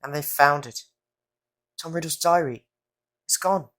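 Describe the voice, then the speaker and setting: A woman's voice: deep voice, measured pace, a bit bright. A woman voicing an earnest, curious schoolboy in an audio drama, with an urgent manner. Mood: troubled. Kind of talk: fan audio drama acting.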